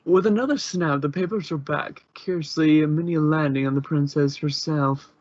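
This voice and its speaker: A man's voice: a little high, steady tone, moderate pace, a little mellow, no room sound, dull audio, lightly acted. A man reading scripted story narration, as the narrator of an amateur audio drama.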